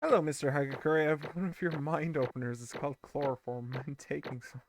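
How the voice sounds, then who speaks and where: A man hosting a let's play who chats, jokes and comments casually on the game. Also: a little high. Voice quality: chatty and joking, reading game text aloud. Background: game music.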